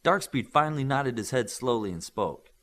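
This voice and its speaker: Man, audiobook narration, animated intonation, medium register, story reading with acted dialogue. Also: medium pitch, moderate pace. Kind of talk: scripted narration of a novel, acting out the dialogue.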